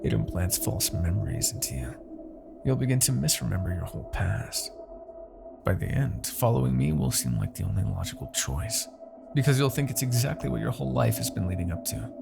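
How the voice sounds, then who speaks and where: A man playing an arrogant, possessive demon king in a husky, theatrical ASMR fantasy roleplay. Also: fairly low pitch, sing-song, over loud music, exaggerated voice. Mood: menacing, intrigued. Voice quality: husky, gravelly. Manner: theatrical, deliberate, swinging from murmurs to outbursts. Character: arrogant, possessive male demon king, obsessed with captive hero. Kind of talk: ASMR roleplay, dramatic monologue.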